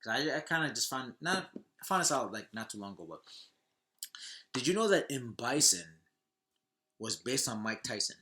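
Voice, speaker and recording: A man chatting casually on a podcast, animated and repeating phrases for emphasis in loose back-and-forth talk. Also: medium pitch, moderate pace, pauses often. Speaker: podcast co-host or guest. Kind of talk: casual podcast chat about video game movies.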